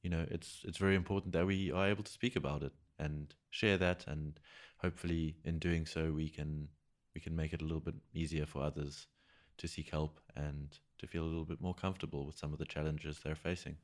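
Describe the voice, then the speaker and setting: Deep-voiced man hosting a podcast. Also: steady tone. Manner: earnest, halting with repeated words. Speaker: podcast co-host. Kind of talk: casual podcast chat.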